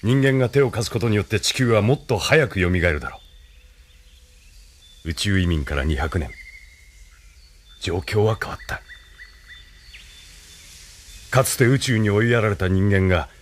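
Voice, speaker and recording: Deep-voiced commanding colonel. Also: male voice, expressive, a little hiss or hum. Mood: grave, impassioned. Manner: measured, authoritative, philosophical. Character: commanding, composed male colonel, leader of a space agency. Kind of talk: Japanese audio drama acting.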